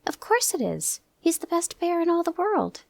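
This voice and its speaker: A woman's voice: very high voice, big pitch swings, moderate pace, creaky, no echo, pitched above the performer's own voice, full character voice. A woman doing an eager young boy. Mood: excited. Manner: eager and bright. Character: excited young boy, leader of friends.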